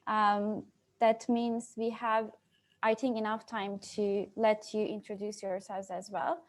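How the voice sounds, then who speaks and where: A woman's voice: medium pitch, flat delivery, takes it slowly. A young woman from Turkey moderating an online breakout session, hesitant, with many fillers and restarts. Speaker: youth moderator. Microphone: video call.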